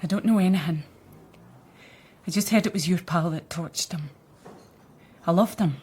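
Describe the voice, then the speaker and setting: A woman's voice: on the low side, normal speed, a little mellow, slight background noise, lightly acted. An actress playing a blunt, defensive working-class Scottish woman in a radio crime drama. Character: defiant, surly working-class woman, grieving girlfriend.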